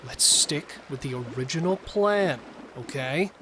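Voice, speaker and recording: A man's voice: fairly high pitch, lively intonation, a little slow, sharp tone, a little hiss or hum. A man playing a cautious but joking killer and robber who steadies his partner, in horror audio drama dialogue. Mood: reassuring, firm. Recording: music and effects under the voice.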